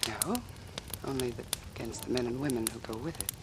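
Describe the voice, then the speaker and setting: Cool, wry noir dame, crackly recording. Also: female voice, very deep voice, fairly even intonation, brisk. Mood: cool, flirtatious. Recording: noisy, crackly vintage radio recording.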